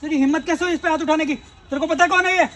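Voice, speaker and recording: A man's voice: very high voice, fairly quick, distant mic, background noise. A man playing an arrogant, abusive company employee in a melodrama skit, sneering, taunting and threatening. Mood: angry, threatening. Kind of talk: amateur Hindi melodrama skit acting.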